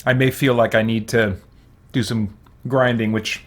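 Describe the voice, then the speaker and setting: Mid-pitched man doing casual game commentary. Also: fairly even intonation, a little slow. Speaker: let's play host.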